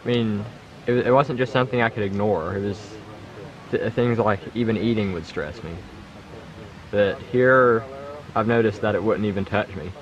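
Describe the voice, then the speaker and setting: A man's voice: lowish voice, lo-fi sound. A man from North Carolina answering interview questions earnestly, often restarting his words. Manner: halting, earnest, with many restarts. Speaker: camper interviewee.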